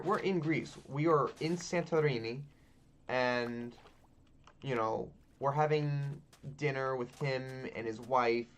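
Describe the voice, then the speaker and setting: Guy, 20s, game channel host, loose chatty ramble, middle pitch, light background hiss. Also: fairly bright tone. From Texas. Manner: loose and chatty, frequent ums and likes, casual swearing. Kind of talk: casual rambling chat over gameplay.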